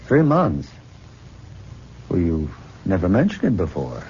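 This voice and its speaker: An actor playing a possessive, condescending middle-aged professor and hypnotist in an old-time radio drama, coaxing deliberately on a muffled, noisy old recording. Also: male voice, low-pitched, huge pitch swings, darkish tone, heavily acted. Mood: jealous probing.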